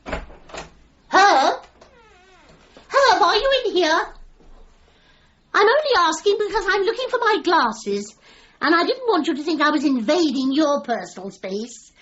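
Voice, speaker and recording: Long-suffering genteel widow, radio comedy, very high voice, animated, fluttery, fussy, slightly muffled recording. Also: female voice, measured pace, long pauses, heavily acted. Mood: anxious. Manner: fussy, fluttery, politely exclaiming. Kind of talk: comic radio drama acting.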